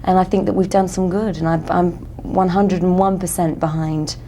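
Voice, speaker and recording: An English woman with a mellow, somewhat low voice answers interview questions earnestly. She leans on "you know" as she thinks. Faint hiss sits behind her. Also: fairly even intonation, normal speed. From England. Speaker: actress being interviewed.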